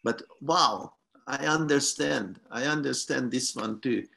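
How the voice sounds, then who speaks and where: A man in his fifties, a native Hungarian speaker, talking slowly with many pauses and fillers on a video call from a room at home. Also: mid-range voice, gravelly, bright, forceful tone. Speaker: guest scientist. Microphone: video call webcam.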